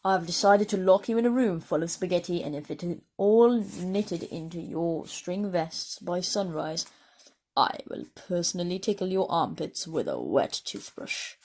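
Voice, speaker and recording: A vain storybook king, put on by a woman speaks slowly in a deep, royal voice. He sounds full of himself and used to giving orders, with a breathy edge. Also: animated, darkish tone. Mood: pompous. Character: pompous man king, proud of his silly name.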